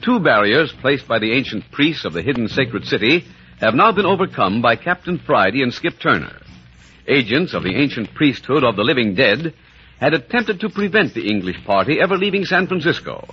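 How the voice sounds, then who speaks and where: A declamatory radio announcer played by a man with a medium-pitched, highly animated voice. He delivers scripted narration theatrically, in vintage serial style. The recording is dull with faint noise. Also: brisk, lightly acted. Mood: portentous. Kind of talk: old-time radio announcing, scripted recap.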